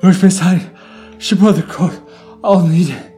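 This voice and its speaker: A man's voice: high voice, slow, dark tone, faint music behind, pitched above the performer's own voice. A man voicing an urgent troll messenger who is out of breath, in a fantasy audio drama. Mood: frantic.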